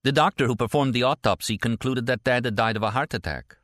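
A man's voice: mid-range voice, fast, fairly bright tone, dry recording, studio quality, pitched above the performer's own voice, close to an everyday voice. A man voicing a tender, teasing, anxious woman in an audiobook novel. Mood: earnest, troubled. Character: frightened, teasing, tender American woman on a phone call.

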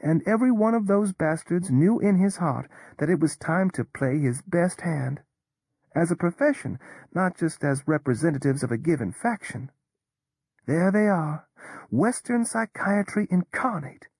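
A woman's voice: on the low side, unhurried, slightly rough, no room sound, exaggerated voice. A woman playing a cynical Texas drawler. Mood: sardonic. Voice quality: drawling, flat neutral tone. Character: cynical drawling woman, world-weary, blunt. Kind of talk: audio drama performance.

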